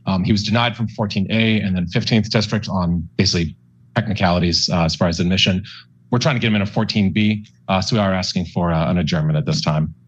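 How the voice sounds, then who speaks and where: A man's voice: low-pitched, fast, breathy edge. A man addressing a judge as a defense attorney in spontaneous courtroom speech. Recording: dull courtroom audio feed.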